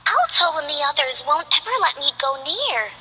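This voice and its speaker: A woman voicing a lonely, dreamy little girl who is playful and curious, speaking in a soft, wistful, childlike way in game character voice lines. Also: sits high, very animated, moderate pace, long reverb tail, heavily acted.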